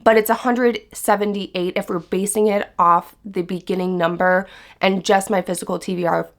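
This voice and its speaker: A young woman talks straight to her viewers in a casual vlog. Her voice is stuffy and hoarse from being sick, but she stays chatty and upbeat. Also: medium pitch, fairly even intonation, moderate pace. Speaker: book vlogger. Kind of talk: casual vlog chat to camera.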